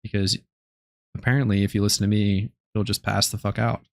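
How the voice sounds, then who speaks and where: Low, gravelly man streaming late at night. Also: fairly quick, no echo. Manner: low-key, relaxed, rambling with many fillers. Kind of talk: casual live-stream chat while gaming.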